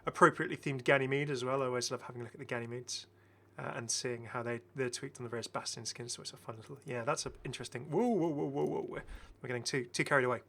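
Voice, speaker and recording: A man's voice: mid-range voice, fairly even intonation, fast, creaky, few pauses, a bit bright, slight background noise. A man giving casual, enthusiastic game commentary as a chatty, rambling video host.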